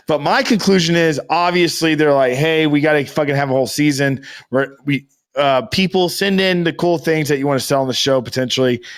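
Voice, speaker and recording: A man's voice: a little high, moderate pace. A man co-hosting a casual comedic podcast, talking in a slangy, emphatic, profane way. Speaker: podcast co-host.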